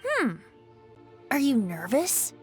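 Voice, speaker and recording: Playful breezy bard boy, anime game, lilting high voice, faint music behind. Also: wildly swooping pitch, slow pace, exaggerated voice. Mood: musing. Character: playful, breezy boyish male bard, mischievous. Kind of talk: anime video game voice acting.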